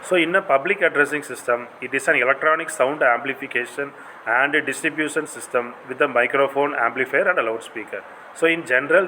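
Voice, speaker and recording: Bright-voiced Indian man lecturing over noise. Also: mid-range voice, poor audio. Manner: didactic, non-native English phrasing. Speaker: college lecturer. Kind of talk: explanatory lecture.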